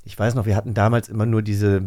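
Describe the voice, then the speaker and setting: A man in his early forties, a podcast host and actor, telling stories in a calm, reflective way with frequent "äh" fillers. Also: lowish voice, quick pace, slight background noise. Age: early 40s. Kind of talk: casual conversation.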